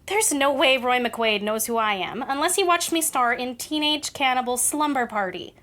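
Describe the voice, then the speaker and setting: A young actress playing a sarcastic, guarded ex-actress daughter in an audio drama, with a dry, skeptical delivery. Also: female voice, high-pitched, lively intonation, moderate pace, fairly bright tone. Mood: exasperated. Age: young adult.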